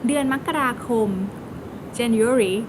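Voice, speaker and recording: A woman teaching Thai words and sentences, adding relaxed, chatty explanations in English with fillers. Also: very fast. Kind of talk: vocabulary lesson with casual explanations. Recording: loud vehicle rumble behind.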